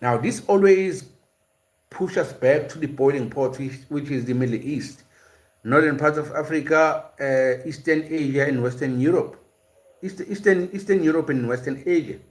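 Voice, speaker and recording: Male host, slightly high voice, unscripted live lecture, stop-start delivery with many fillers. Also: normal speed. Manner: explanatory, hesitant, with frequent "uh" and repeated words. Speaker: host of a language and culture series. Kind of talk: live-stream lecture, reading chat and answering viewers.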